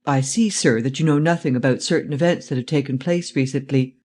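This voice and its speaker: A man voicing a formal, authoritative police chief in an audiobook, polite, measured and insistent. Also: medium pitch, darkish tone, lightly acted. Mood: composed, insistent. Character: composed, formal, authoritative middle-aged French police chief. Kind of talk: audiobook character voice.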